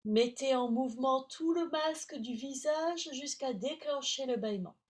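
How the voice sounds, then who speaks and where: A woman teaching yoga nidra, guiding relaxation in short, soothing, measured phrases with long pauses. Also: high-pitched. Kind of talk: guided relaxation instruction.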